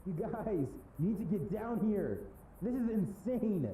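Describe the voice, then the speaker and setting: A man's voice: high voice, animated, slow pace, warm tone. A man playing an awestruck, laid-back young dude in an audio drama, heard echoing from a distance. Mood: awed. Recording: echoey, distant, with noisy ambience.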